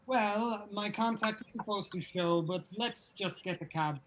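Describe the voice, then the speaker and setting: Man playing a slow, grumpy rocker. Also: high voice, fairly bright tone, rough recording. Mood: curt, weary. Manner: curt, irritable. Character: grumpy, swearing male rocker Lucas, curt and irritable. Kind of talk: table read of a pilot script.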